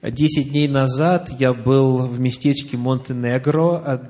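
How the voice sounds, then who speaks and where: A man with a medium-pitched voice works as a live interpreter at a church address. He delivers the message phrase by phrase, hesitating now and then as he finds the wording. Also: fairly even intonation, unhurried, mellow. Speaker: consecutive interpreter.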